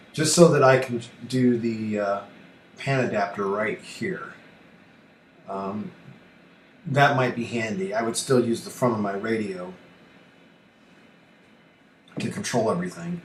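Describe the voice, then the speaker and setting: Slow-talking man in an echoey room. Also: on the low side. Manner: rambling, thinking aloud, self-deprecating. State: allergy coughing and throat-clearing. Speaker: livestream host and ham radio operator. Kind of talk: casual live-stream tutorial. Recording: roomy echo, faint noise.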